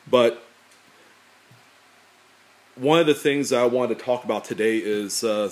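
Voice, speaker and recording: A man with a deep, slightly nasal voice chats easily to his viewers. He rambles along in an unhurried way, filling the pauses with ums and uhs. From California. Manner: relaxed, rambling, many fillers. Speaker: coin collecting YouTuber. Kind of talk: casual talk to camera. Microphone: camera pointed at table, speaker off screen.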